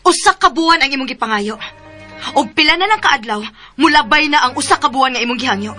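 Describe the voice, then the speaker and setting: High, bright, reproachful young woman. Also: lively intonation, fast talker, soft background music. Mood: stern, hurt. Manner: reproachful, indignant. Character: hurt, firm young woman spurning her lover. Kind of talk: radio drama acting.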